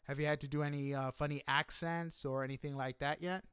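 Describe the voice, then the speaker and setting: A man's voice: fairly high pitch, steady tone, a little fast, a bit bright, clean tone, no room sound. A man hosting a casual interview podcast, talking in a chatty, exclamatory, effusive way. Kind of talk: casual interview with scripted-sounding greeting.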